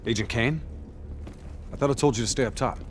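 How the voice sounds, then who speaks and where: An actor playing a blunt, foul-mouthed special forces soldier in a military video game, terse and commanding. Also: male voice, mid-range voice, fairly quick, a little hiss or hum, lightly acted. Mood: commanding, focused. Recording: game music and battle effects behind.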